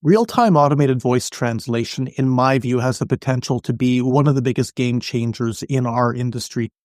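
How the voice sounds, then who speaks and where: A man giving explanatory interview answers as an expert podcast guest, articulate, measured and businesslike. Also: medium pitch, a little mellow, dry recording, clean studio sound. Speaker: podcast guest, industry analyst.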